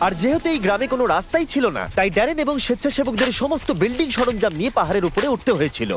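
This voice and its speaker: A man's voice: very high voice, very animated, racing. A man reading a scripted documentary voiceover as the narrator of a dubbed video. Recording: muffled audio under music. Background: music.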